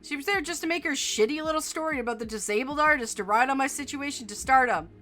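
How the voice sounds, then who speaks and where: A woman's voice: high voice, quick pace, sharp tone, faint music behind, pitched above the performer's own voice. A woman voicing a short-tempered young woman with a tail, bitter and swearing in a storybook reading. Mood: furious.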